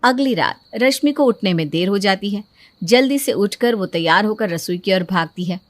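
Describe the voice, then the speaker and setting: A woman's voice: medium pitch, expressive, moderate pace, dry recording. A woman reading scripted story narration.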